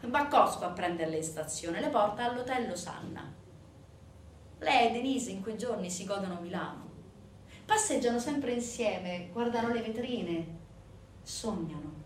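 A woman performing a scripted dramatic monologue as a stage narrator, with measured, weighted phrases. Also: medium pitch, lively intonation, slight background noise. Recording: roomy, distant sound with faint music.

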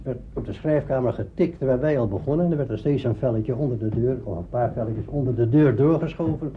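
A man with a mid-pitched voice reminisces informally, halting as he tells his anecdotes. The recording is dull, with faint background noise. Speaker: radio drama maker interviewed.

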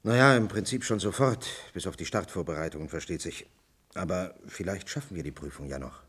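An actor playing a cautious, pedantic scientist in a radio play, explaining deliberately in with some hesitation. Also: male voice, low voice, fairly bright tone, breathy edge. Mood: earnest, insistent. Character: worried, pedantic male scientist, cautious and precise. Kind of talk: German radio play acting.

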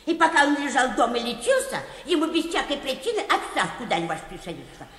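Radio play: humble yet nagging older woman supplicant, folksy whine, animated, echoey hall sound. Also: high voice, fast. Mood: plaintive, insistent. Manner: whining, obsequious, old-fashioned folksy. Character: pestering, plaintive older petitioner woman, humble yet stubborn. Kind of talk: classic comic radio play acting.

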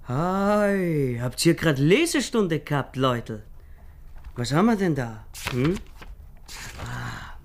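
An actor playing a menacing, mocking partisan posing as a soldier in a radio drama, crude and barking, with an Austrian accent. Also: male voice, a little high, exaggerated voice. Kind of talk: German-language radio drama acting.

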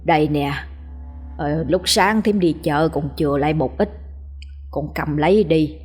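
A woman with a Southern Vietnamese accent voicing a kind older village woman who speaks slowly and soothingly. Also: deep voice, a little mellow, husky, soft background music. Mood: kindly. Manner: slow, soothing, coaxing.

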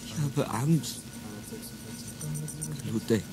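A man's voice: mid-range voice, drawn-out words, very noisy. An actor playing a fearful, tormented man who pleads in anguish in a radio play. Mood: afraid, pleading. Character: fearful, tormented man pleading against his own betrayal. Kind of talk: slow dramatic radio play speech. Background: music.

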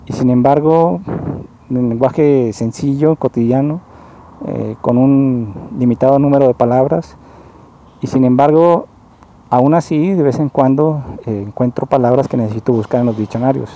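Slow, halting man with a very dark voice. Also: mid-range voice, lo-fi sound. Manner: slow, halting, rephrases often; earnest and explanatory. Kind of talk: spoken monologue, personal complaint.

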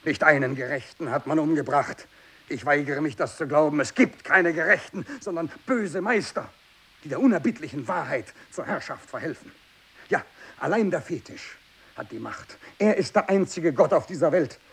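An actor playing a fanatical, tormented captive missionary turned hateful zealot, in an intense German radio drama monologue from the 1960s. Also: male voice, on the high side, expressive, moderate pace, vocal fry, husky, full character voice. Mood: vehement, fervent. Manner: intense, swinging between hushed muttering and loud declamation. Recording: hissy 1960s radio production.

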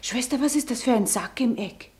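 Radio play casting: actress as anxious, impulsive sister, high-pitched, emotionally intense, declaiming verse. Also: female voice, expressive, moderate pace, a little mellow, natural voice. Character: anxious, impulsive, devoted younger sister in wartime. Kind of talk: theatrical verse radio drama.

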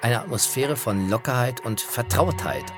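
Male presenter, anecdotal radio feature narration, clear music behind. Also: mid-range voice, normal speed.

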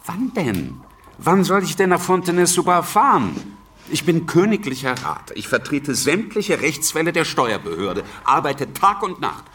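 An overworked, short-tempered lawyer in a radio drama. His pitch swings extremely widely and the voice is sharp and forceful. He stands back from the mic. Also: big pitch swings, normal speed, distant mic, slight background noise. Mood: exasperated. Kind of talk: German radio drama acting. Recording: off-mic, faint music behind.

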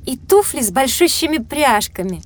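A woman's voice: high voice, sing-song, normal speed. A woman voicing an observant, inquisitive, thoughtful child in an educational audio drama.

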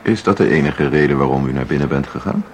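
A man's voice: very deep voice, fast. A man acting in a Dutch radio play as a terse, condescending museum visitor who asks pointed questions. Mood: dry. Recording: audible background noise.

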